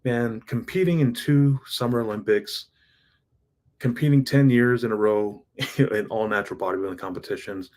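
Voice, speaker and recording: A man's voice: mid-range voice, moderate pace, a little mellow. A man in his thirties talking in an earnest, rambling way about his big goals, often restarting his sentences. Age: 30s. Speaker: self-improvement vlogger. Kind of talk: casual motivational monologue.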